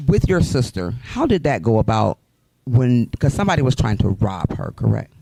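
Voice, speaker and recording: Direct interviewer asking pointed questions. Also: male voice, mid-range voice, very animated, moderate pace, gravelly, mellow, slight background noise, poor audio. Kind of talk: live-show interview.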